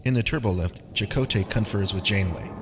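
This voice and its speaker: A man's voice: fairly low pitch, a little fast, noisy background. A man reading scripted narration for an audio drama.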